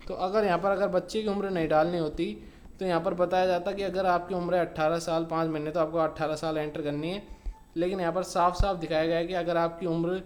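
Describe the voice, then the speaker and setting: Male tutorial host, slightly high voice, very even melody, informal instructional read that repeats key points. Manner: repetitive, step-by-step instructing with emphasis on key words. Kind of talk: informal explainer narration.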